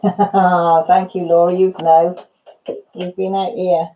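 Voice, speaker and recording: A woman's voice: fairly low pitch, level tone, takes it slowly, phone-quality sound. A Welsh woman in her fifties, a channel host chatting casually with viewers, calling them by name and laughing through her stories. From Wales. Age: 50s. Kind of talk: casual live chat and storytelling.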